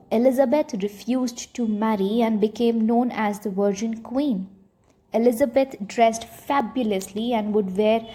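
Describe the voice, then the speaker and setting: A woman's voice: on the high side, warm tone. A woman reading scripted educational narration for a short history film.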